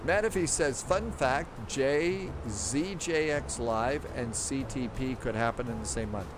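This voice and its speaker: A man's voice: mid-range voice, measured pace, noisy background. A man hosting a live flight-sim stream, reading viewer comments and rambling in a casual, thinking-aloud way. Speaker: livestream host. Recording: clear background music.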